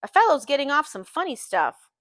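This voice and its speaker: A woman's voice: high voice, very animated, brisk, fairly bright tone, dry recording, voice pushed up. Woman reading as Tom, arrogant wealthy husband. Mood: offhand.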